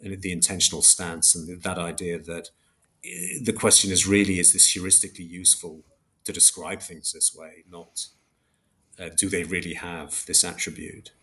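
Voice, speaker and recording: Low-voiced man, hesitant reflective academic. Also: level tone, normal speed, pushed and bright. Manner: hesitant, self-correcting, reflective academic explaining. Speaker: interview guest, academic philosopher. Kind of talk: thoughtful interview discussion.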